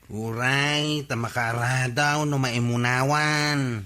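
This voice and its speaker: Radio comedy part: an everyday Filipino villager, conversational delivery with a sharp, bright tone. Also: male voice, mid-range voice, steady tone, slow. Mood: matter-of-fact. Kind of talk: Philippine radio comedy drama acting.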